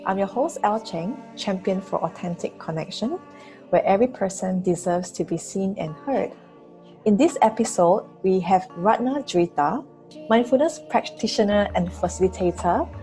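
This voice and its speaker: A woman's voice: mid-range voice, dark tone, rough recording. A woman hosting a podcast, presenting and interviewing in a conversational way. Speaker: podcast host. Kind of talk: scripted intro and conversational interview.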